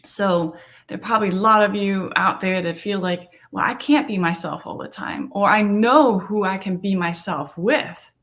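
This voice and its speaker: A woman's voice: mid-range voice, moderate pace, a bit bright. A middle-aged woman from Denmark, a therapist and coach speaking earnestly in an interview and often asking "right?". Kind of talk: conversational interview.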